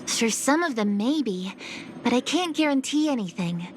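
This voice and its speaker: A woman's voice: on the high side, expressive, moderate pace, slight background noise, lightly acted. A woman voicing a diligent, helpful official in a video game, formal and matter-of-fact. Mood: businesslike, earnest. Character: diligent, formal female official, a records clerk. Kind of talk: English video game voice acting.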